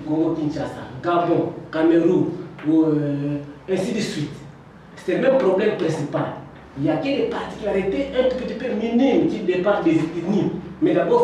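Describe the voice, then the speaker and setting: Mellow man in a very echoey room. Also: on the high side. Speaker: audience contributor at a conference. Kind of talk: impromptu speech in a public debate. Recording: very echoey room, distant mic, faint noise.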